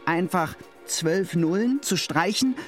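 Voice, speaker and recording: Eager bit bumbling podcast guy, highish voice, jokey chat, faint music. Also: animated, slow. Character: eager, slightly bumbling male podcast co-host. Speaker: podcast co-host. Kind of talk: scripted banter played as casual podcast chat.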